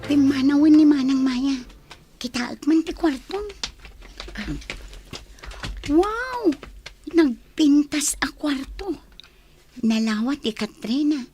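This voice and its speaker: A woman playing a chatty, wide-eyed housemaid in a radio comedy drama, with an expressive, admiring manner. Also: high-pitched, slow, long pauses, faint music behind.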